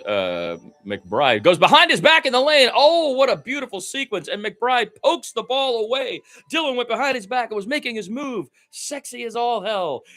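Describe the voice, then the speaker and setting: A man doing live radio play-by-play of a basketball game, informal and opinionated, swinging between low-key asides and excited calls. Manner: informal, opinionated, mildly profane.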